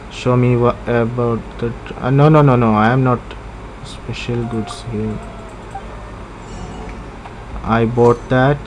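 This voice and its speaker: Casting: male gamer, mid-pitched, unhurried, halting casual commentary with repeated phrases. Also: slight background noise, rough recording.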